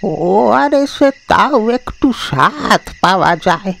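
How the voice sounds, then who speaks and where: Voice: mid-range voice, very animated, slow pace, vocal fry, a bit bright, heavily acted. An actor voicing a frail, fond old village grandfather in a cartoon ghost story, rambling and repeating words in a fatalistic way. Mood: fussy, insistent. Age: older. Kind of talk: dramatised animated ghost story.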